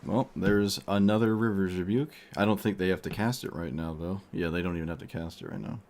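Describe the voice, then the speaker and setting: A man's voice: deep voice, fairly even intonation, brisk, vocal fry, a little mellow. A male streamer losing his voice, thinking aloud as he plays a card game. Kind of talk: casual gameplay commentary.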